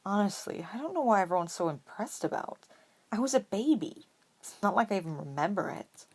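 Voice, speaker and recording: A woman's voice: medium pitch, very animated, a little fast, husky, voice pushed up. A young boy voiced by a woman in a read-aloud story. He talks casually and plainly, modest but prickly, with a guarded, grumbling manner.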